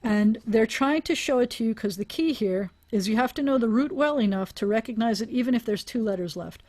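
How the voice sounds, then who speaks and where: A woman's voice: a little high, lively intonation, brisk, a little mellow. A woman teaching a language class over a video call, explaining aloud with restarts and small laughs. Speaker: Hebrew teacher.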